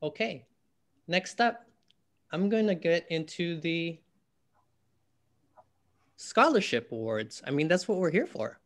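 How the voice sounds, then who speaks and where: Young male host, casual and warm-hearted, filler-heavy, on a video call. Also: a little high, sing-song, takes it slowly, pauses often. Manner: friendly, chatty, with fillers and laughs. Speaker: event host.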